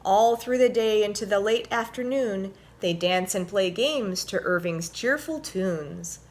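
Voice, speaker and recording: A woman presenting and reading a children's story aloud in an expressive, clearly told storytelling manner. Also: mid-range voice, a little slow.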